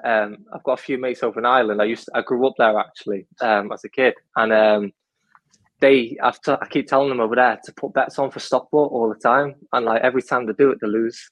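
Mancunian fan guest, hesitant and umming, dry mic. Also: male voice, mid-range voice, fairly even intonation, fast, a bit bright, no room sound, low-quality recording. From Manchester, England. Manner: hesitant, many fillers and restarts. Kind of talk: casual chat.

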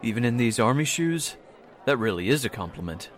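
A man's voice: mid-range voice, lively intonation, fairly quick, a little hiss or hum, natural voice. A man playing a polite, bashful young army corporal in an old-time radio drama, modest and courteous. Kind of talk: 1940s-style radio drama acting.

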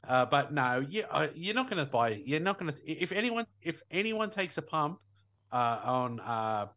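A young man talks sports in a loose, joking podcast chat. His voice is bright and forward, with a playful edge, and he keeps it casual. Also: a little high, normal speed. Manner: jokey, animated, laughs often. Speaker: podcast co-host.

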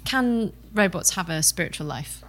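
A woman interviewing in a thoughtful academic style, setting out her questions in a measured, discursive way. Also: on the low side, lively intonation, fairly bright tone.

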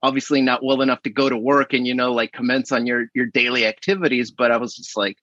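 A man's voice: medium pitch, steady tone, fast, fairly bright tone, no room sound, dull audio. A man in his late thirties, a podcast guest, talking casually and rambling a bit, with many fillers. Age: late 30s. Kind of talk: casual remote conversation.

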